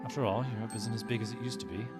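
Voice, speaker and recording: A man playing a proud, gruff English expedition seaman in an audio drama, blunt and dismissive. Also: fairly low pitch, fairly even intonation, racing, natural voice. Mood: sceptical. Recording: loud music behind audio drama.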